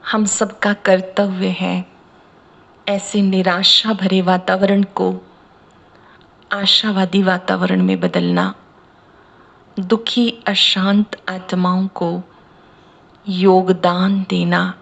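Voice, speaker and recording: A woman from India leading a guided meditation as a spiritual teacher, speaking in measured, deliberate short phrases with pauses. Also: medium pitch, creaky, dull audio. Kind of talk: slow guided meditation discourse.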